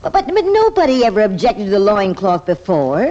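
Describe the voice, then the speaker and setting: An actress playing a plain-spoken, exasperated but warm-hearted American small-town woman in an old-time radio comedy. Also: mid-range voice, huge pitch swings, a little fast. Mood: dismayed, resigned. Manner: plain-spoken, folksy, dramatic. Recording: dull vintage radio recording.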